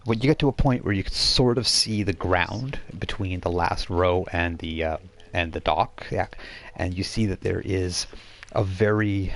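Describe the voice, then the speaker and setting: A man working as game master, improvising narration for a tabletop role-playing game in a halting, conversational way. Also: low-pitched, a little hiss or hum. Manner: halting, conversational, thinking aloud.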